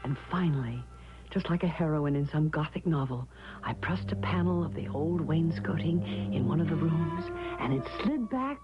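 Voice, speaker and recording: An actress playing an anxious, devoted young wife in an old-time radio drama, with earnest, dramatic delivery. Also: female voice, lowish voice. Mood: brooding narration. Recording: dull vintage radio recording.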